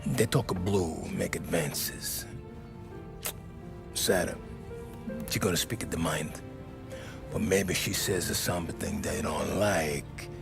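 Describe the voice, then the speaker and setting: A gravelly old Italian barkeep. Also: male voice, low-pitched, music in the background, heavily acted. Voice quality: gravelly, halting broken English. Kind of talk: video game cutscene acting.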